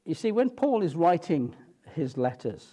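Guy preaching a sermon, kinda high but mellow voice, lots of uh's and restarts, earnest. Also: very animated. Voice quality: halting, frequent "uh" fillers and restarts, mellow voice. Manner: earnest, explanatory, reads scripture slowly. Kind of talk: evening sermon, expository Bible teaching.

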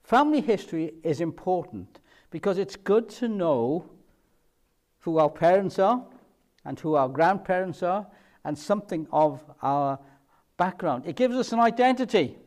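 A man's voice: a little high, a little mellow. A middle-aged man with a Welsh Cardiff accent preaching a sermon, explaining a Bible passage with repeated key points and frequent fillers. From Cardiff, Wales.

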